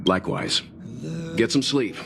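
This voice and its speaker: A man voicing a thoughtful, kindly mentor in a video game, with a measured, reflective and gentle delivery. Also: lowish voice. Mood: reflective, encouraging. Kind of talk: scripted video game dialogue. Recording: music behind the voice.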